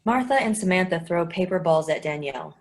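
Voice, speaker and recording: A woman reading the stage directions of a play in a plain, even way. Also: lowish voice, a little fast, slight background noise.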